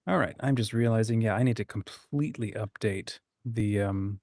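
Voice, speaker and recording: A man's voice: on the low side, moderate pace, darkish tone, no room sound, studio quality. A man hosting a writing livestream in a casual, informal, rambling and enthusiastic way. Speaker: host of a writing community livestream.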